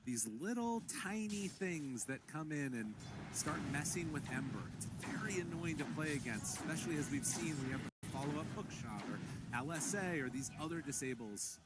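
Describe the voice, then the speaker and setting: A man's voice: medium pitch, expressive, moderate pace, very noisy. A man doing live play-by-play commentary on an esports match, calling the action in a reactive, dramatic way.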